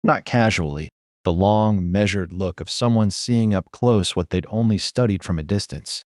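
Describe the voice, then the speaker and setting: A man's voice: low voice, moderate pace, darkish tone, dry recording, studio quality. A man reading scripted first-person noir narration as the story's narrator.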